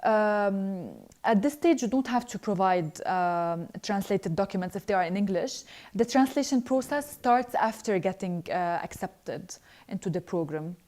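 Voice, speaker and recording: Young woman, alumna hosting a live Q&A. Mellow, relaxed voice, earnest, halting with fillers. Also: medium pitch. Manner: earnest, hesitant, frequent filler pauses. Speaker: scholarship alumna answering viewer questions. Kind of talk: live online Q&A, reading questions then answering.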